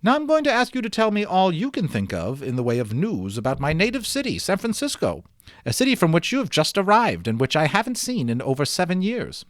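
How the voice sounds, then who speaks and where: Male reader as friendly curious gentleman, raised pitch, animated, polite, enthusiastic, storybook character, dry sound. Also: fairly quick, dry recording. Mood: warmly curious.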